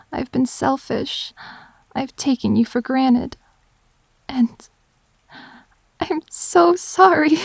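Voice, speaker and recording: Woman acting a remorseful girlfriend, slow and creaky. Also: high voice, fairly bright tone, no echo, heavily acted. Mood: grieving, ashamed, then tearful apology. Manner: halting, tender, confessional delivery. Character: grieving, apologetic girlfriend pleading to reconcile. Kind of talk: intimate dramatic audio roleplay.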